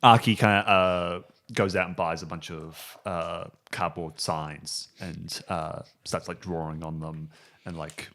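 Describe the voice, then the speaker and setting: A man narrating an improvised tabletop game as game master, casually and in a halting, informal way. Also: low voice, steady tone, a bit bright.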